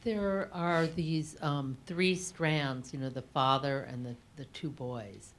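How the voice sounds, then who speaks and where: Low-voiced woman, tentative and reflective. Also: slow pace, slight background noise. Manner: tentative, searching phrasing. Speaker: audience member asking a question. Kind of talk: reflective unscripted question. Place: live book talk audience.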